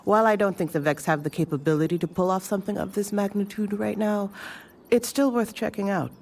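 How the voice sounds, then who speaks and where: A woman playing a composed senior mentor in an audio drama, speaking in a formal, measured way. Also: mid-range voice, fairly even intonation, a little mellow, lightly acted. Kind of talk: fan audio drama dialogue. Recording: distant mic, clear background noise.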